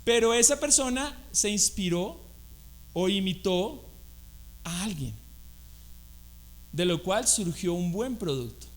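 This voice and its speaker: A man with a Colombian accent preaches to a church congregation in a lively, conversational way, often turning his points into questions. His voice sits unusually high for a man. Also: takes it slowly, long pauses. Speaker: guest preacher. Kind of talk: sermon.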